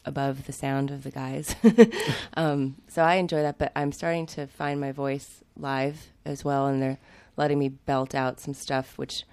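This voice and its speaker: A woman's voice: extremely low pitch, level tone, unhurried, hardly any pauses, darkish tone, clear, resonant tone. A woman singer with a cold, chatting casually and laughing often as a guest in a radio studio. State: nursing a cold. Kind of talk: casual radio interview chat.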